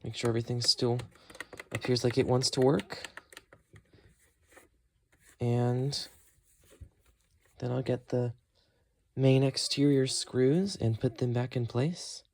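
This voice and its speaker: A man with an average-pitched voice hosts a hands-on tutorial. He talks casually and thinks aloud as he works, explaining each step in an unscripted way. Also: steady tone, takes it slowly, gravelly, long pauses. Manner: thinks aloud while working. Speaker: host of a repair tutorial.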